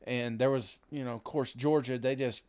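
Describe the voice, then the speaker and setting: A man's voice: medium pitch, steady tone, moderate pace, darkish tone. A man co-hosting a casual sports podcast, talking in a folksy, rambling, self-deprecating way. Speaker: podcast co-host.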